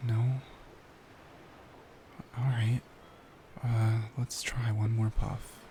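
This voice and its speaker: A man playing a caring, worried boyfriend in an intimate ASMR roleplay, speaking softly and soothingly, often near a whisper. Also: medium pitch, flat delivery, very slow, slight background noise. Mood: anxious, soothing. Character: caring, worried young boyfriend comforting his partner.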